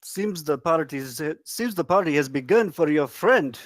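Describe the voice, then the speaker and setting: A man's voice: a little high, lively intonation, a little slow, no echo, studio quality. A man at a screenplay table read, acting out crime thriller characters in a hostage scene. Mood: gloating. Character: armed male henchman and his bargaining captive.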